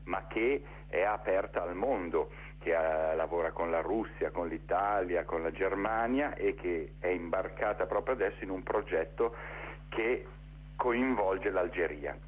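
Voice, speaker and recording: Deep-voiced man on a noisy line. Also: a little slow. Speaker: interview guest, theatre director presenting a festival. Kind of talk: spontaneous informational interview talk.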